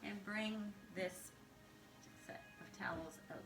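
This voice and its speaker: In an echoey room with music playing, a woman teaches yoga. Her voice sits at a middling pitch for a woman, and she guides each pose calmly, step by step. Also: slow, vocal fry. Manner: calm, instructive, step-by-step. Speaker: yoga instructor. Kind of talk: guided yoga class instruction.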